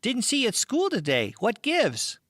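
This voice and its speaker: A man voicing an excitable, curious youngster in an audiobook. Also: extremely high pitch, moderate pace, no room sound, studio quality, pitched above the performer's own voice, full character voice. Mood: excited. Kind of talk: audiobook character acting.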